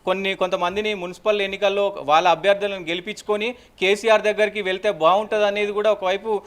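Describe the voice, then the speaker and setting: హై-పిచ్ స్పష్టమైన గొంతు గల తెలంగాణ మగ రిపోర్టర్. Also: male voice, high-pitched, little pitch movement, fast, clear, resonant tone. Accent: Telangana Telugu. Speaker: news reporter. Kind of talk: unscripted live news update.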